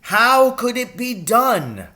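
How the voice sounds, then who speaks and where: Man acting out a storybook dad, conspiratorial poacher, bright forceful voice, unhurried pace, theatrical and intense. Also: extremely high pitch, very animated, voice pushed up, full character voice. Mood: worked up. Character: scheming, excitable father, conspiratorial poacher with a grudge. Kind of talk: children's audiobook character reading.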